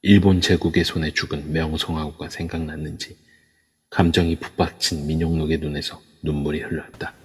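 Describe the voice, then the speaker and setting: A man narrating an audio drama in an even storytelling manner. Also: a bass voice, brisk, husky. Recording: echoey long reverb.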